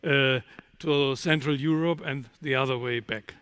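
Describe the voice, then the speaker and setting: A man's voice: medium pitch, steady tone, a little slow, fairly bright tone, clear, resonant tone, dry recording. A man from Germany with a German accent, answering as a panelist in a discussion.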